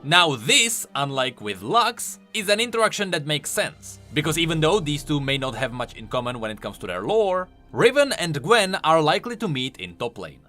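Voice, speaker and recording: A man's voice: fairly high pitch, very animated, moderate pace, few pauses, pushed and bright, faint music behind. A man giving scripted, explanatory video commentary on game lore, stressing key words emphatically.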